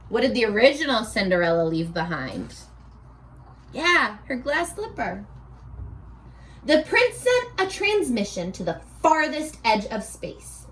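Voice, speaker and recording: Slow, high-pitched woman reading aloud to children. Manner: expressive, sing-song teacher talk with prompting questions. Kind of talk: storybook read-aloud with questions to children.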